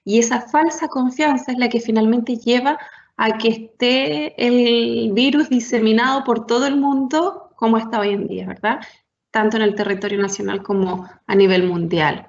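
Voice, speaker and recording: A woman's voice: a little high, steady tone, moderate pace. A woman giving a university science talk, explaining step by step with frequent tag questions and fillers. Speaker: lecturer. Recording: echoey room, dull lo-fi sound.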